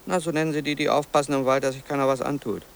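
A man's voice: mid-range voice, fairly even intonation, very fast, vocal fry, close to an everyday voice. An actor playing an offhand local man who shares grim gossip in a radio drama. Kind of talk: German radio drama acting.

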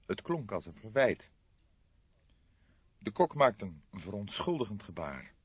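A man's voice: lowish voice, sing-song, unhurried, breathy edge, no echo, dull audio. A man reading scripted story narration as an audiobook narrator.

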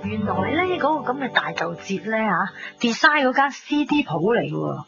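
A woman's voice: fairly high pitch, normal speed, creaky. Blunt, chatty young Hong Kong woman, lo-fi. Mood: teasing, lecturing. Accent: Hong Kong Cantonese. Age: 20s. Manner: animated, blunt, teasing, sprinkles English words. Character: pragmatic, cynical, chatty young mainland-born shop girl. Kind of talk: 1990s radio drama acting in Cantonese. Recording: dull lo-fi radio recording, music behind.

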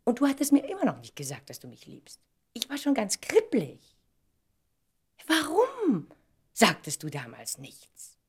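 An actress playing a tender, wistful woman reunited with her first love in an intimate German radio drama, soft and often hushed. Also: fairly high pitch, wildly swooping pitch, measured pace, long pauses, breathy edge, heavily acted. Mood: wistful, playful.

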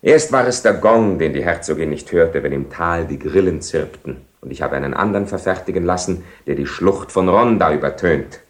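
An actor playing Don Juan, a proud, mocking and world-weary seducer, with ironic, declamatory delivery in a radio play. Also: male voice, deep voice, expressive, moderate pace, few pauses. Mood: weary, irritable. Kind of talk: German radio play acting. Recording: roomy echoing 1970 radio studio.

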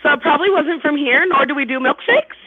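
High-pitched woman on a phone line, puzzled by the caller. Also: quick pace. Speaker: restaurant staff on the phone.

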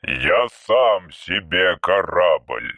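A man voicing an ancient male robot who speaks slowly in old-fashioned, inverted phrases. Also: deep voice, expressive, pushed and bright, no echo, voice pushed down, heavily acted. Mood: solemn. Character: ancient male robot, slow archaic speech.

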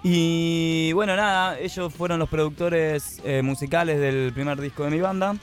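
A man in his late twenties with an Argentine accent, chatting casually and haltingly as an interviewed band singer, with a self-effacing manner. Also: fairly high pitch, fairly even intonation, soft background music. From San Andrés de Giles, Argentina. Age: late 20s. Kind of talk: casual chat.

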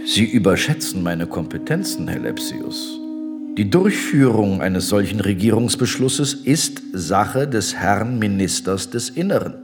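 An actor in a radio drama playing an unyielding general, speaking with measured, condescending politeness. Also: male voice, lowish voice, very animated, over music. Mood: coolly polite. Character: coldly courteous, unyielding Ottoman general in power. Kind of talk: German radio drama acting.

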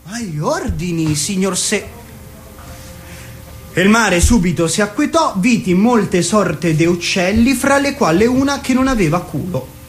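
A man's voice: high-pitched, lively intonation. An actor playing a cheeky, stalling schoolboy in a stage comedy-drama, teasing and drawing out his words in mockery. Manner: teasing, drawn-out mocking reading, evasive.

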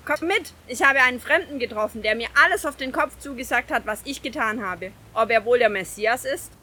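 A woman's voice: on the high side, a little fast, bright, forceful tone. An actress playing a frank, questioning Samaritan village woman in a Bible audio drama, speaking expressively. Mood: eager.

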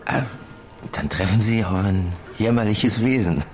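A man acting a weary police detective in a radio drama, speaking in a terse, probing or halting, reflective way. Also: on the low side, expressive, a little mellow. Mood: brooding. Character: weary, brooding male police detective, questioning and musing.

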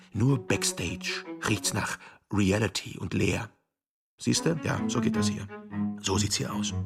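An actor playing a swaggering, cynical male showman, half reciting slangy lyrics in a creaky voice in an experimental radio play. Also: fairly low pitch. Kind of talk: spoken-word song in experimental radio play.

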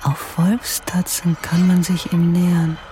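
An actress playing a patient, hushed, poetic inner voice in a radio drama. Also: female voice, fairly low pitch, level tone, unhurried, lightly acted. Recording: music bed behind voice.

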